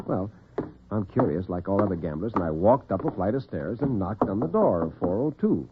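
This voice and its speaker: An American man telling dramatic tales as host and storyteller in classic radio style, theatrical and expressive. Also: low voice, brisk, background noise. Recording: narrow-band vintage radio recording, muffled.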